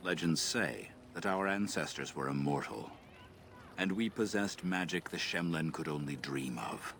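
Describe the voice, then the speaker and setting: An actor playing a grave, proud elven elder in a video game, speaking in a measured, formal, solemn way. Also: male voice, a bass voice, animated, a bit bright, a little hiss or hum, full character voice. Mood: wistful. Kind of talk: video game dialogue, solemn lore telling. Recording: background music under the voice.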